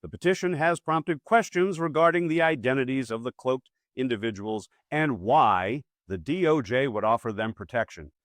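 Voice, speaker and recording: A man's voice: medium pitch, animated, normal speed, hardly any pauses, no echo. A man hosting a scripted political commentary, with punchy, colloquial, wry asides. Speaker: host.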